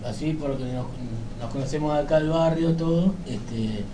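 A man's voice: on the high side, moderate pace. An Argentine man giving casual interview answers as a radio sports commentator, hesitant, repeating words and often adding verbal tags. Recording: noisy room, distant microphone.

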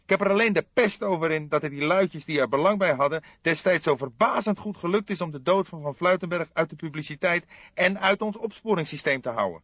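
A man's voice: fairly high pitch, expressive, a little fast, fairly bright tone, no room sound, highs cut off, pitched above the performer's own voice. A man voicing an irritable, sardonic young police detective in an audiobook. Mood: irritated. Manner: exclamatory, gloomy, sardonic. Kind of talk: audiobook character voice.

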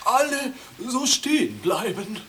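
A man playing a fussy photographer in a satirical radio drama, giving drawn-out, polite instructions. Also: extremely high pitch, sing-song, fairly bright tone, full character voice. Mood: fussy, brisk. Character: fussy, high-voiced photographer at a stuffy academy.

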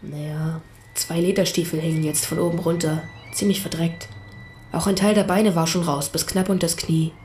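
A woman's voice: sits low, level tone, moderate pace, a little mellow, slight background noise, natural voice. A woman playing a pastor's young son, a hesitant and literal witness giving terse answers in a radio play. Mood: reluctant, factual.

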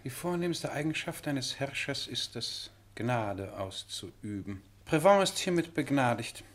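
A man's voice: medium pitch, very animated, measured pace. A pompous penny-pinching king, grand speechy delivery, jovial but condescending, radio play. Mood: imperious, jovial. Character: pompous, thrifty male monarch of a tiny state. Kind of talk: German radio play acting.

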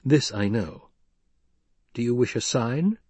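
A man voicing a poised, composed noblewoman who speaks calmly and measuredly. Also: mid-range voice, slightly rough, no echo. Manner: calm and measured.

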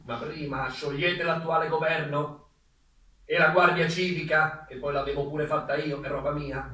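A man's voice: fairly high pitch, fairly even intonation, normal speed, pushed and bright, pitched below the performer's own voice. A man playing a peevish, stubborn Pope in a stage play, imperious and dismissive in manner. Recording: roomy stage, mic at a distance.

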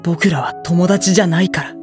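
A woman voicing a gentle, introspective, anguished teenage schoolboy in a Japanese audio drama, speaking softly and hesitantly as he narrates. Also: on the low side, steady tone, normal speed, music in the background, close to an everyday voice. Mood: sorrowful, brooding. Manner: soft-spoken, hesitant, brooding. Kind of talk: Japanese audio drama acting with first-person monologue.

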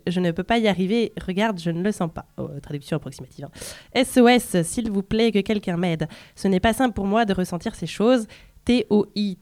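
A woman radio host runs a music quiz with a playful, informal delivery. Her voice sits at a middle pitch for a woman and is recorded very dry, as in a close studio. She moves between casual chat and reading lines out in a self-deprecating way. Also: darkish tone, no room sound. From France.

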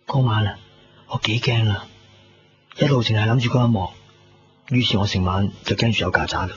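A man's voice: on the low side, brisk, natural voice. An actor playing a reflective, squeamish young man living alone in a radio drama, speaking Cantonese with a Hong Kong accent. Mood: subdued. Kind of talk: 1990s radio drama, intimate narration and dialogue. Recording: muffled 1990s radio recording, music behind.

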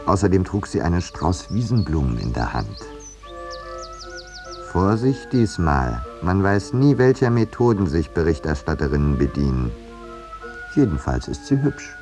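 An actor playing a courteous, wry landscape painter in a 1950s radio play, dry and ironic, with some hesitation. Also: male voice, low-pitched, very animated, a little slow, music in the background, exaggerated voice. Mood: wry narrating. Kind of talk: 1950s German radio play, narration and dialogue.

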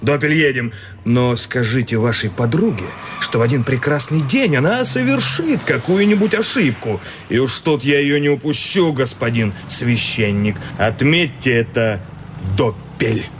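An actor playing a stern, officious police inspector in a radio play, curt and commanding. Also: male voice, mid-range voice, lively intonation, a little slow, noisy background, natural voice. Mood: exasperated.

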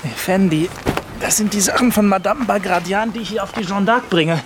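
A man acting an eager, deferential aide in a radio drama. He speaks very fast in a fairly high voice. Clear outdoor noise runs behind him. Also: natural voice. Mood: dutiful.

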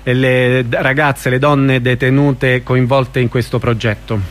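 A man's voice: mid-range voice, level tone, unhurried, a little hiss or hum. A man hosting a conversational radio interview, asking questions in an informal way.

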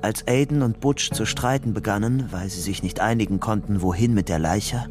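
A man's voice: fairly low pitch, normal speed, over music, lightly acted. An actor playing a young fugitive who narrates his grim story soberly and tensely in short sentences for a radio crime drama.

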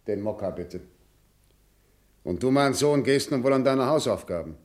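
A man's voice: mid-range voice, animated, normal speed, a little mellow, close to an everyday voice. Stern, aloof father, played by an actor. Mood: cold, dismissive. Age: middle-aged. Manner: formal, curt, dismissive. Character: stern, aloof, unapproachable father, a state prosecutor. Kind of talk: German radio play acting.

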